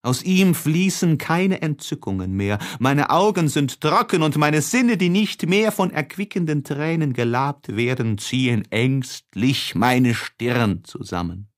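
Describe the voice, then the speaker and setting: A man playing a tormented, lovesick young man in an audiobook of a classic novel, emotive and halting, with heavy pauses. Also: on the high side, lively intonation. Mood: despairing. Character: tormented, lovesick young man writing despairing diary letters.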